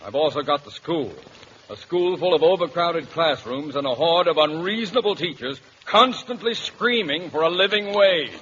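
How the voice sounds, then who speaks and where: A man's voice: fairly high pitch, lively intonation, normal speed, fairly bright tone. A man playing a pompous, exasperated middle-aged father and school principal in a vintage radio comedy. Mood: sour, grumbling. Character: blustery, put-upon father and school principal. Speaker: actor in a radio sitcom. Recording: muffled vintage radio, noise, audience.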